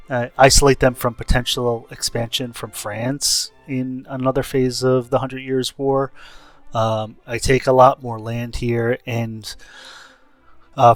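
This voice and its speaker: A man's voice: mid-range voice, fairly bright tone, no echo. A man giving casual, explanatory commentary on his video game campaign in a rambling way. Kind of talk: casual gameplay commentary recap. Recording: faint music behind voice. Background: faint background music.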